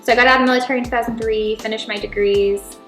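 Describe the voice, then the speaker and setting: A woman's voice: on the high side, steady tone, racing. Middle aged american woman, chatty guest explaining stuff informally, echoey room w music behind. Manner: chatty, informal, peppers talk with "right?" and "you know". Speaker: interview guest, title insurance representative. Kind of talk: casual explanatory interview talk. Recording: roomy echo, music behind the voice.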